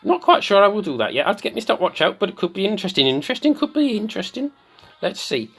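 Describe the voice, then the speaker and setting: Someone putting on the voice of a slightly mad, excitable woman doing theatrical video game commentary, repeating phrases for effect. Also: medium pitch, quick pace, slightly rough, exaggerated voice. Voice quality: put-on comic voice. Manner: theatrical, repeats phrases, swoops between squeals and murmurs.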